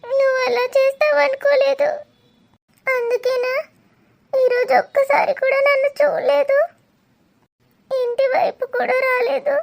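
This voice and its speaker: A woman's voice: extremely high pitch, a little slow, gravelly, long pauses, slight background noise, exaggerated voice. A woman playing a heartbroken young married woman who pleads in a tearful, crying monologue. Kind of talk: melodramatic audio drama.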